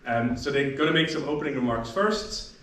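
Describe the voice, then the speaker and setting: A man's voice: medium pitch, lively intonation, fairly quick. A man moderating a workshop panel, joking loosely and restarting his sentences often. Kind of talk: introducing a panel discussion. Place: lecture room, workshop audience. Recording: very echoey room, distant mic, faint noise.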